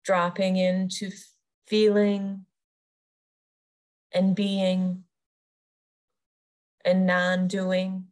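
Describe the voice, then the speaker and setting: A woman leading a guided meditation as a yoga nidra teacher, soothing and unhurried, pausing between short phrases. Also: medium pitch, completely flat delivery, darkish tone.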